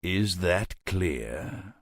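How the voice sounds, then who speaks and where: A man's voice: low-pitched, expressive, very slow, no echo, studio quality, exaggerated voice. A man playing an arrogant, scornful human military commander in a fantasy game, pompous and contemptuous as he gives orders. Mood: commanding. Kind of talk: dramatic fantasy game acting.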